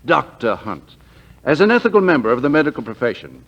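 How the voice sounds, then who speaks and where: An officious, disapproving male lawyer in a 1940s radio drama. He speaks formally and condescendingly, with a lively swing in pitch. The sound is dull and muffled. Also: brisk, vocal fry, full character voice. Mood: condescending, pressing.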